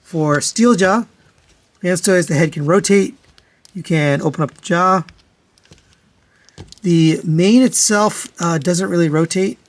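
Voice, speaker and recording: Male product reviewer, voice a bit on the high side, matter-of-fact and conversational, walking through comparisons step by step. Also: slow, pauses often. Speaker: toy reviewer, host.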